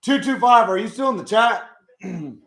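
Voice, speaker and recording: Raspy guy ranting to his chat, kinda high voice. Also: expressive, moderate pace, fairly bright tone, echoey room. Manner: chatty, swears, talks to chat. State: hoarse. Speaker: live stream host. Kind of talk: casual game watch-along commentary.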